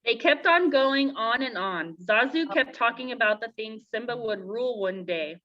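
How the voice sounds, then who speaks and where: A woman's voice: a little high, animated, moderate pace, bright, forceful tone, lo-fi sound. A woman reading a story aloud to young children and talking with them about it.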